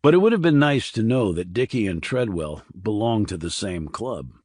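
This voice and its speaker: A man's voice: fairly low pitch, big pitch swings, normal speed, a little mellow, no room sound. A man voicing a blunt, skeptical military investigator with a terse, sardonic manner in audiobook dialogue.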